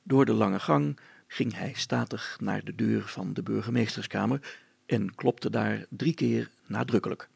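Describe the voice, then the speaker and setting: A man narrating a scripted children's story as a storyteller, in a deliberate, old-fashioned way. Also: on the low side, gravelly, darkish tone, breathy edge, dry recording.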